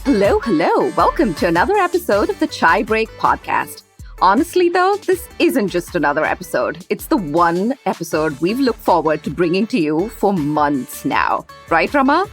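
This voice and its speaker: A woman hosting a podcast, bubbly and animated as she opens the show. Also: mid-range voice, normal speed. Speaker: podcast host. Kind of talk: podcast intro and chat. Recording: music bed behind voice. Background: intro music.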